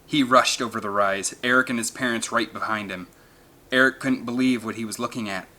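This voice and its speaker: A man's voice: mid-range voice, steady tone, a little fast, pushed and bright. A man reading an adventure novel aloud as dramatic scripted narration. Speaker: audiobook narrator.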